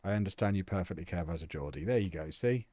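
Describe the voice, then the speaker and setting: A man's voice: sits low, lively intonation, quick pace, mellow, dry recording. A young man chatting casually and jokily on a live stream, answering viewers' comments as he plays a football management game.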